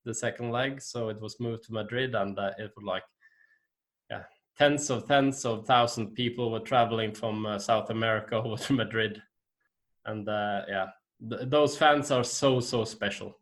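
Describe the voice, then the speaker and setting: Man, halting English with fillers. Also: lowish voice, fairly bright tone. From Norway. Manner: hesitant, many fillers and restarts. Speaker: guest. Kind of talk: casual interview chat.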